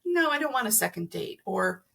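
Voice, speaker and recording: A woman's voice: medium pitch, big pitch swings, a little fast, gravelly, pushed and bright, a little hiss or hum. A middle-aged woman explaining things conversationally as an interview guest, earnest and emphatic, acting out imagined remarks. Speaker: podcast guest, energy healer.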